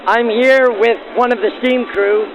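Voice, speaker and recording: High-voiced man, old-time radio reporter. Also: steady tone, unhurried, muffled recording, noisy background. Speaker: host and interviewer. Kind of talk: scripted narration and field interviews backdated to 1940s radio. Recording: band-limited 1940s radio-style sound.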